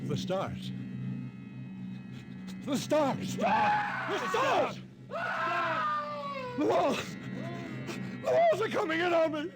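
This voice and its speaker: Terror-crazed man, extremely high pitch, drawn-out slow cries, 1950s radio melodrama. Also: huge pitch swings, raised voice, over loud music, exaggerated voice, rough recording. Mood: mad terror. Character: hysterical man driven mad by darkness and stars. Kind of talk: 1950s radio drama acting.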